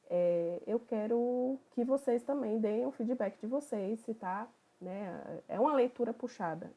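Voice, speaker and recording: Woman leading a study group, warm low-key voice, chatty and easygoing. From Bahia, Brazil. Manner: relaxed, conversational, thinking aloud. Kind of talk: online study group opening talk.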